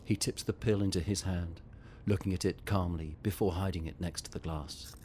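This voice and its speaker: A man narrating a scripted audio drama in a measured, descriptive way. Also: deep voice, fairly even intonation, heavy background noise.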